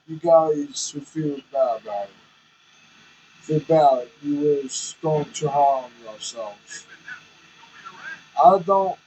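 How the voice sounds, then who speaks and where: Slow-talking, rambling trucker. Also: male voice, a little high, a little hiss or hum, poor audio. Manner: rambling, candid, self-conscious. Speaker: truck driver vlogger. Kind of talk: casual chat while driving. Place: cab of a semi truck.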